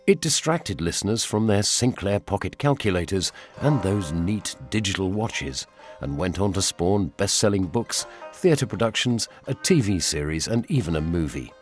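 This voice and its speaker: A man's voice: deep voice, expressive, moderate pace, faint music behind. An older man with a British accent reading a scripted radio documentary as its presenter. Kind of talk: scripted narration.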